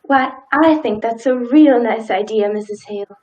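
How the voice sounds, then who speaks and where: A woman playing a polite, sympathetic small-town wife, gentle and hesitant, in a live radio play. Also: a little high, normal speed, close to an everyday voice. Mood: mild.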